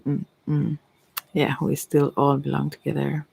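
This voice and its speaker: Casting: middle-aged Swedish woman, soft-spoken ASMR ramble, gravelly fry, very dry close recording. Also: low voice, monotone, a little slow, dry recording, rough recording. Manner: rambling, trailing off mid-thought. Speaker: ASMR creator and tarot reader. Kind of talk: unscripted reflective ASMR monologue.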